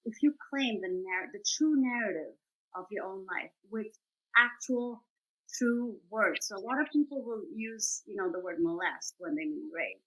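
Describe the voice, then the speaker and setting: A woman's voice: mid-range voice, fairly bright tone, rough recording. A woman in her forties, a playwright talking in an interview, earnest and reflective, often restarting and searching for words. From grew up in Argentina and India. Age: 40s. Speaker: guest, playwright and survivor.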